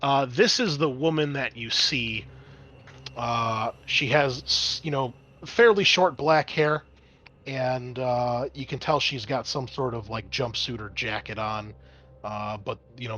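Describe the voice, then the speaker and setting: A man's voice: medium pitch, measured pace, bright, forceful tone. A man narrating as game master of a tabletop roleplaying game, explaining things in a conversational, improvised way.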